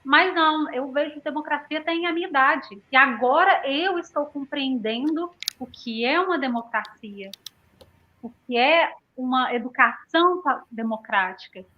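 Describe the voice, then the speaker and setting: High-voiced Brazilian woman in muffled audio. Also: a little slow. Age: 30s. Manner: punctuates points with frequent tag questions. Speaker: panelist in an education discussion. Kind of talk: reflective, conversational academic discussion. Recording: dull lo-fi audio.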